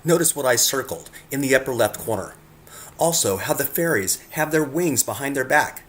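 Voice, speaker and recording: A man's voice: mid-range voice, lively intonation, moderate pace, fairly bright tone. A man reading scripted narration in an earnest way, speaking directly to the viewer as he presents his work. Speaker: narrator presenting own photographs.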